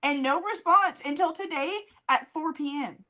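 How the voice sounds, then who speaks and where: A woman with a high, bright and forceful voice chats as a podcast co-host. She reads things out with wry mockery and swears casually. Laughter breaks into her talk often, keeping it loose and comedic. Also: normal speed. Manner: wry mock reading of messages, swears casually.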